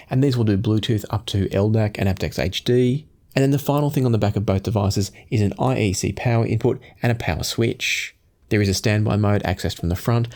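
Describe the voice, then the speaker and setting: A man in his forties presenting a product review to camera in a measured, explanatory way. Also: fairly low pitch, darkish tone. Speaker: audio gear reviewer and host. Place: small home studio room indoors.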